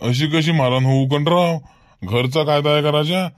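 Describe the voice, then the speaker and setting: A man's voice: fairly high pitch, moderate pace, no room sound, close to an everyday voice. A man voicing a village schoolmaster in a comic cartoon, chatting and reasoning in a folksy way with a rural Varhadi Marathi accent. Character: village schoolmaster chatting about local gossip.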